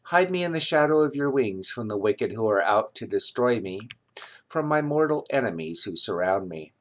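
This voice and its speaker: A man reading scripture aloud in a solemn, deliberate manner, with measured phrases and pauses. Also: on the low side, lively intonation. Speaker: scripture reader.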